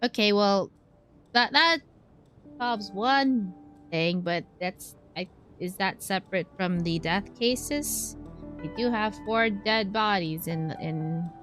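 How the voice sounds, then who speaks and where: A woman's voice: medium pitch, takes it slowly, a bit bright. A woman commenting casually over a video game, with music playing behind her. She thinks aloud in loose, trailing phrases and sometimes reads on-screen text. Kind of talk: casual gameplay commentary, reading clues aloud.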